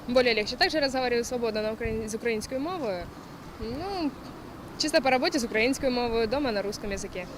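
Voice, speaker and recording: Brief: woman, quick casual answer, street traffic noise. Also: on the high side. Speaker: interviewee.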